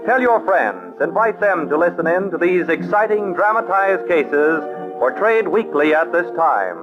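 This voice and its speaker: A man's voice: sits high, very animated, unhurried. A man announcing for a 1940s radio drama, stirring and emphatic. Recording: dull old radio with music.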